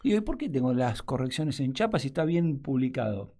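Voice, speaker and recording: A man, a radio guest explaining in a measured way and often trailing off into fillers. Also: medium pitch, sing-song, dark tone. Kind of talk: radio conversation.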